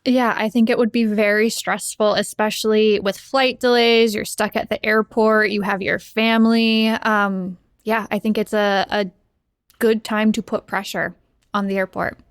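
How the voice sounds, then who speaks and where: A woman with noticeable vocal fry chats casually as a podcast guest. Her pitch is average, and the sound is dry and close. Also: flat delivery, a little slow, no echo. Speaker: podcast guest, audio producer. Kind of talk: casual panel chat.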